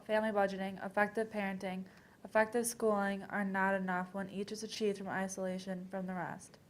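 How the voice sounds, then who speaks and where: A woman's voice: medium pitch, slight background noise, lightly acted. A young woman performing a scripted readers theater piece, reading aloud with occasional stumbles. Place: college library event. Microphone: worn microphone.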